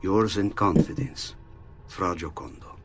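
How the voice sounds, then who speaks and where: An actor playing a cautious Renaissance friar, reading a confidential letter in a formal, measured way for a video game. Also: male voice, deep voice, faint music behind. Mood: guarded. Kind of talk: letter read aloud as game voice-over.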